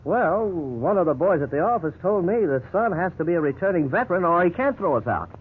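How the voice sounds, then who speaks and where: An old narrow-band radio drama recording with some noise carries a sarcastic, grumbling husband. His voice sits fairly high for a man, slangy and defensive. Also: expressive, fairly quick. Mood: aggrieved. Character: irritable, sarcastic husband, a commercial artist and father. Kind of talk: 1950s radio drama acting.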